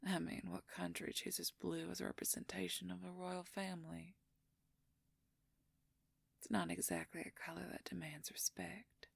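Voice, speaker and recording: A woman playing a smug, possessive royal werewolf schemer in an audio roleplay, silky and condescending, with a husky, breathy, creaky near-whisper. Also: on the low side, flat delivery, no echo, full character voice. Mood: smug, courting. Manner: silky, condescending, slow and deliberate. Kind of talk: audio roleplay acting, addressing the listener.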